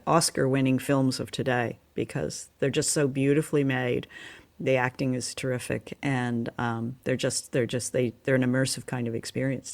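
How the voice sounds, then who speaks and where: A woman's voice: very deep voice, vocal fry. A woman co-hosting a show, talking in a chatty, reflective way about old entertainment in a casual discussion. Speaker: podcast co-host. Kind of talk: casual film and radio discussion.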